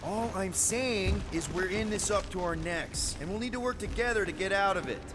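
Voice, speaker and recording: A man acts as a flippant young space adventurer leading his crew in a game. His voice sits high for a man, easygoing and casual, with loud music behind it. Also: normal speed, lightly acted. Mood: wry. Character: wisecracking, easygoing young male space-adventurer team leader. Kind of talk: video game acting.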